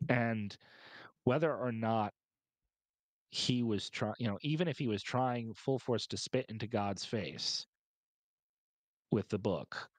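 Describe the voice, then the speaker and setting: A man's voice: on the low side, animated, takes it slowly, long pauses, dry recording. A man speaking as a podcast panelist, explaining his points at length in a wordy, emphatic, step-by-step way.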